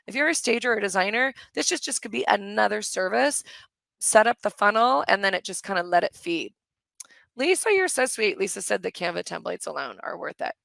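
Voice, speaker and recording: A middle-aged woman with a slightly hoarse voice, hosting a live webinar in a chatty, enthusiastic way and answering questions from the chat. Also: mid-range voice, lively intonation, fairly quick, a bit bright, no room sound. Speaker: course host on a live webinar. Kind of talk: live webinar sales pitch and Q&A.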